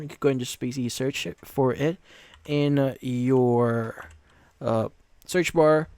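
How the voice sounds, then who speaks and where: A man hosting a software tutorial, instructing step by step in a repetitive way. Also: on the high side, smooth, dry recording, studio quality. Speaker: tutorial host. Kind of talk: screen-recorded software tutorial narration.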